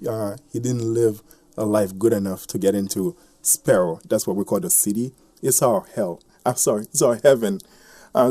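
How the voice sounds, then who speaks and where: A man in his thirties from Haiti with a Haitian accent, a guest author talking casually in a radio interview, soft-spoken and quick to laugh. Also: mid-range voice, no echo.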